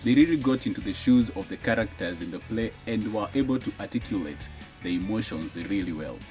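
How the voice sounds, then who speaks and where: A man's voice: fairly low pitch, animated, brisk, a little mellow, soft background music. A man with a Kenyan accent giving an explanatory interview.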